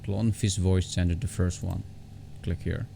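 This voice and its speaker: Male tutorial vlogger, very low creaky voice, informal and halting, repeats himself, faint background noise. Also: fairly even intonation, warm tone. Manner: halting, repeats words and phrases. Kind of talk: informal step-by-step software tutorial. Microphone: separate desktop condenser microphone.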